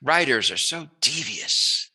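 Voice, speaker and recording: A man's voice: medium pitch, sing-song, takes it slowly, pushed and bright, husky. A man hosting a casual chat interview, talking in a playful, jokey, musing way. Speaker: interviewer, host.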